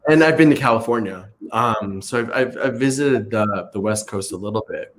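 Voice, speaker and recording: Relaxed man whose first language was Italian. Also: fairly low pitch, normal speed, a little hiss or hum. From Chicago. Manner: relaxed, many "like" and "um" fillers. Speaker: guest, meditation teacher. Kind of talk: casual conversational interview.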